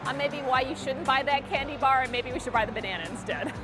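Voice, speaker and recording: A woman's voice: high voice, fast talker. A woman explaining things conversationally in an interview, as an education expert. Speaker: museum education director being interviewed. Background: clear background music.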